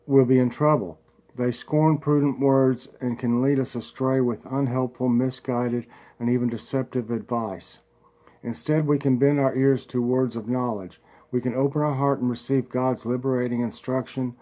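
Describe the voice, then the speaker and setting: A man, a congested vlogger chatting folksily and slowly reading a devotional aloud. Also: medium pitch, dark tone, low-quality recording. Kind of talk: casual home vlog with prayer and devotional reading.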